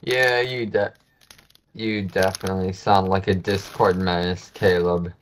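A man's voice: low voice, level tone, unhurried, a little hiss or hum. A young male friend on a voice call, joking and egging the others on. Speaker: gaming friend. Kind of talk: casual chat over voice call.

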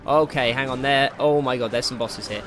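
A man giving casual, excitable commentary while playing a video game, jokey and slangy, with exclamations and swearing. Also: medium pitch, a little fast. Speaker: gaming video host. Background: game music.

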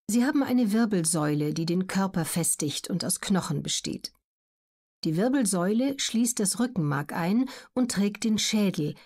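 A woman reading scripted educational narration as an audiobook narrator, in a measured, clearly articulated way. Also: mid-range voice, dark tone.

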